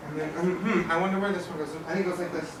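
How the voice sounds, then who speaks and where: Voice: medium pitch, fairly even intonation, rapid-fire, lightly acted. A student performer playing a friendly, puzzled helper in a live children's puppet show. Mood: puzzled, encouraging. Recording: noisy echoey hall, far from mic.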